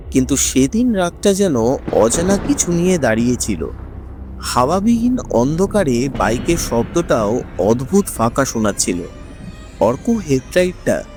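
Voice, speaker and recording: A man narrates a horror tale with heavy suspense, drawing out his phrases for effect. His pitch rises and falls in a very animated way. Faint music plays quietly behind him. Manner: suspenseful, drawn-out dramatic delivery. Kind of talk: scripted dramatic horror narration.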